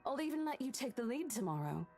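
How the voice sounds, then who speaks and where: An actress playing a caring young real estate agent who talks in a reassuring, coaxing way in a horror visual novel. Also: female voice, fairly high pitch, sing-song, quick pace, darkish tone, faint music behind.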